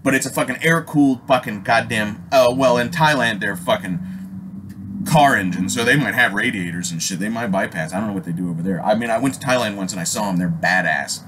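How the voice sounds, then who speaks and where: A man from Alaska with an American accent telling a personal story casually, in an animated, rambling, slangy way full of swearing. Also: fairly low pitch, fairly quick. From Alaska, United States. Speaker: storyteller, host. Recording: noisy amateur recording with ambient sound.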